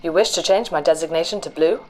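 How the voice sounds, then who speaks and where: A woman's voice: deep voice, fast, dark tone, rough recording. A woman voicing an emotionless, literal ship's computer in a sci-fi audio drama, with clipped and formal robotic delivery. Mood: flat, impersonal.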